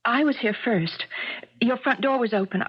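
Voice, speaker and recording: A woman's voice: a little high, moderate pace, husky. An actress playing an anxious, tender woman traveler newly in love, in the style of 1970s radio drama. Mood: apologetic. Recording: dull 1970s radio broadcast recording.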